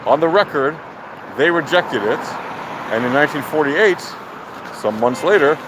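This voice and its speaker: A man giving opinionated, off-the-cuff political commentary in a deliberate, emphatic, argumentative manner. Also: on the high side, lively intonation. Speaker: speaker of a political monologue. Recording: loud outdoor traffic or engine noise.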